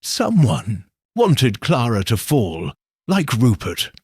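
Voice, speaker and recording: A man voicing a stern, suspicious police investigator with a sharp, grim manner in a mystery story. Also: medium pitch, wildly swooping pitch, slow pace, slightly rough, dry recording, clean studio sound, heavily acted.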